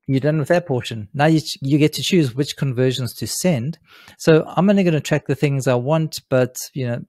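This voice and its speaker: A man with a soft-edged, mellow voice walks through a software tutorial step by step. His delivery is informal and unscripted, and the sound is very dry, with little room around it. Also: medium pitch, no echo. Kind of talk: casual screen-share tutorial, step by step. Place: indoors, seated at a computer.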